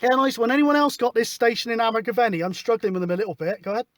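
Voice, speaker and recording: A man's voice: very high-pitched, expressive, rapid-fire, heavy background noise. A man chatting casually and matily as he runs a CB radio session. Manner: informal, matey. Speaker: CB radio operator, host. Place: outdoors at a hilltop spot, mobile radio setup.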